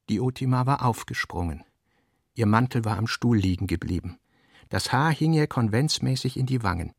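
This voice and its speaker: A man reading scripted literary narration for a radio play in a measured, articulate manner. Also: mid-range voice, vocal fry, dry recording, studio quality.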